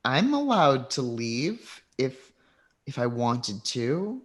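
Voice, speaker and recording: A man's voice: mid-range voice, very animated, takes it slowly. A man voicing a sheltered young woman from a mage family who talks earnestly and a little anxiously.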